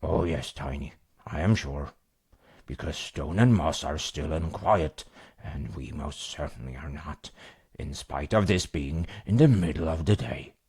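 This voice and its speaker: A man voicing a big, gruff, fatherly mountain troll in a bedtime story, blustery and booming yet reassuring as he soothes a child. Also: unhurried, darkish tone, full character voice. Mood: firm, reassuring.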